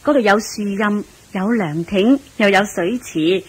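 溫柔耐心嘅王妃. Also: female voice, mid-range voice, measured pace, rough recording. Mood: patient. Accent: Hong Kong Cantonese. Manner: soothing, deferential. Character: gentle, patient, attentive slim queen consort. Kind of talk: Cantonese radio drama acting. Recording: clear background noise.

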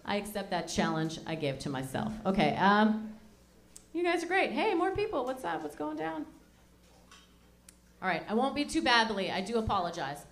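Canadian lady in her 30s doing standup, high voice, chatty and rambly, handheld mic in a small club. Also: sing-song, normal speed, long pauses. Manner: chatty, rambling, self-mocking. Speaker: stand-up comedian and MC. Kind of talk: stand-up comedy set. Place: small dark lounge with a live audience.

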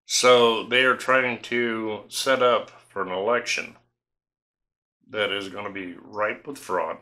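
Older guy from tennessee, kinda low, very bright edgy tone, folksy rambling vlog talk at home. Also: steady tone, takes it slowly. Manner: folksy, suspicious, rambling with fillers. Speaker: homestead vlog host. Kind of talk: casual opinion talk to camera. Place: home studio room indoors.

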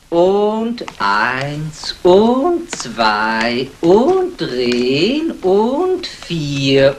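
An actress playing an exacting, world-weary dance coach, drawing out counts and barking instructions in a 1960s radio play. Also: female voice, on the low side, full character voice. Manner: drawn-out counting, barked instructions. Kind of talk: 1960s German radio crime drama acting. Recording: faintly noisy vintage radio recording.

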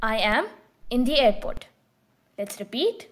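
A woman hosting her own channel, giving a scripted beginner lesson with calm, clear prompts. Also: fairly high pitch, unhurried. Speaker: language teacher and channel host.

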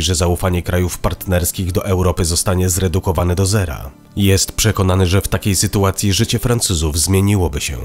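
A man reading scripted news narration in an even, measured way as a voice-over. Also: low-pitched. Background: faint background music.

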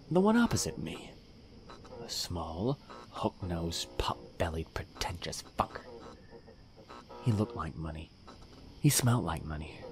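A man playing a deranged, self-righteous serial killer in a dramatic audio drama, halting and menacing, sometimes dropping into a whisper. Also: deep voice. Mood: bitter, seething.

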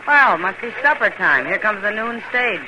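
A woman's voice: medium pitch, very animated, moderate pace, highs cut off, background noise, lightly acted. An actress playing an easygoing, wry Old West townswoman in a 1950s radio western, speaking casually. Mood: wry, relaxed. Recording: old radio recording with crowd sounds.

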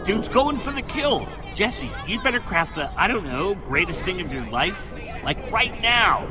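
A goofy, loyal video game sidekick, very high and lively in pitch. He sounds casual and excitable, blurting things out over music and crowd noise. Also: measured pace. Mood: nervous. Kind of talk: animated video game acting.

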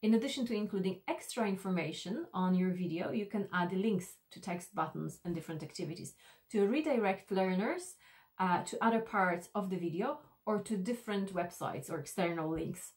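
A woman in her thirties presenting an instructional tutorial to camera, explaining step by step in a deliberate, slightly hesitant way. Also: mid-range voice, animated, a little mellow. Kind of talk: instructional screencast walk-through to camera.

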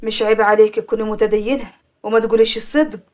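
A woman's voice: a little high, steady tone, fast talker, a little mellow, pitched below the performer's own voice, natural voice. A woman voicing a stern, scolding village father with an Upper Egyptian accent and a commanding manner, in an audio story. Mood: stern, angry. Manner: harsh, commanding.

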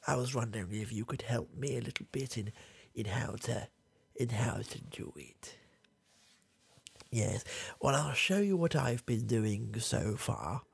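A young man voicing a confused, rambling old grandfather with a gravelly, husky voice, in a comedy ASMR roleplay recorded close in a small dark room. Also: medium pitch, expressive, exaggerated voice. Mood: doddering. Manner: rambling, halting, trailing off, chuckling. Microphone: close studio mic, camera near face.

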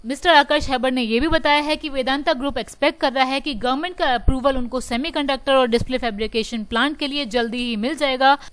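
A woman with a high, smooth voice reads stock market updates over a screen recording. She moves through each point in a steady, explanatory way and slips English terms into her sentences. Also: fast talker, hardly any pauses. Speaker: stock market news presenter. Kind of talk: scripted market news voice-over.

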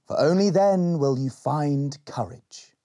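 A man's voice: fairly high pitch, takes it slowly, mellow, voice pushed up. A man voicing a solemn, mystical ancient elf sage from a storybook, commanding and portentous.

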